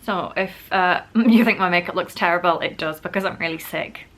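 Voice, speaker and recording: A woman's voice: fairly low pitch, normal speed, a bit bright, slight background noise. A woman vlogger, sick and wheezy with a bad cough, chatting casually to camera and poking fun at herself. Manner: chatty, self-mocking, many "like" fillers.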